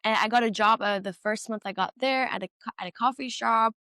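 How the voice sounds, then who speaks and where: A woman's voice: fairly high pitch, fairly quick, bright, forceful tone, no room sound. Candid young woman from Iran, dry sound. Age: 20s. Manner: candid, reflective, many fillers and restarts. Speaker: guest. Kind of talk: casual conversation.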